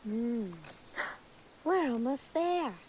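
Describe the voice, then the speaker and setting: An actress playing an eerie, enigmatic female guide in a radio drama, musing dreamily with drawn-out, lilting words. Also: on the high side, lively intonation, noisy background. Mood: dreamy, eerie. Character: eerie, dreamy, enigmatic woman guiding through a foggy swamp. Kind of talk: radio theatre acting.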